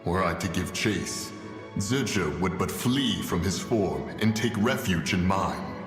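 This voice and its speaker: An actor voicing a stern, wise ancient immortal in a video game, formal and commanding. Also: male voice, sits low, lively intonation, a little slow. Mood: grave. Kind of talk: game voice acting. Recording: echoing hall effect, music behind.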